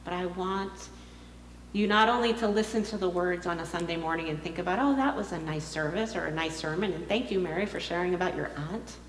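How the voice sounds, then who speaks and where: A woman minister giving a sermon as a direct, emphatic personal appeal, pausing as she urges her listeners. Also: on the low side. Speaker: minister preaching. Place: large indoor congregation hall. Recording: echoey hall with long reverb, hum.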